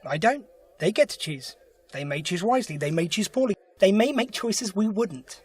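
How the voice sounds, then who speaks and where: A man's voice: high-pitched, expressive, moderate pace, husky, no room sound. A man playing a kindly, earnest alien time traveller in an audio drama. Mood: calm, reflective. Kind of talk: fan audio drama acting.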